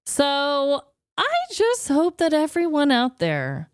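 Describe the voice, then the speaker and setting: A woman co-hosting a podcast in casual chat, animated and quick to laugh. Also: high-pitched, no room sound, clean studio sound. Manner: chatty and animated, laughs easily. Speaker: podcast co-host.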